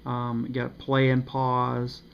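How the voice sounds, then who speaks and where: A man giving a casual, unscripted review of a radio, pausing often with ums and uhs. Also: medium pitch, monotone, vocal fry. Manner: hesitant, many ums and uhs. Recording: slightly dull with faint noise.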